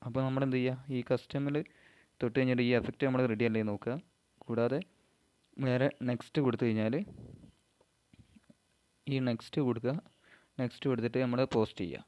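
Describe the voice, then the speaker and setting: A man from Kerala, giving an informal step-by-step tutorial in halting, short phrases. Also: mid-range voice, steady tone, a little mellow. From Kerala, India. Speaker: amateur vlogger giving an app tutorial.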